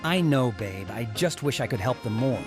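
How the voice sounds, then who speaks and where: A man's voice: medium pitch, animated, normal speed, music in the background, close to an everyday voice. A man playing a protective, hot-headed teenage athlete in a visual novel dub, casual and emphatic in his emotional delivery. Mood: dejected.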